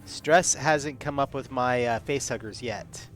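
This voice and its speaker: A man's voice: medium pitch, a little slow, a bit bright. A man chatting casually as a player at a tabletop roleplaying game, calling out his moves and rolls. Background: music.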